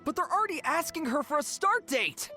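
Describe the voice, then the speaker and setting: A woman's voice: sits high, big pitch swings, brisk, pushed and bright, soft background music, exaggerated voice. A woman voicing an anxious young gang underling in a video game, earnest and pleading. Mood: panicked.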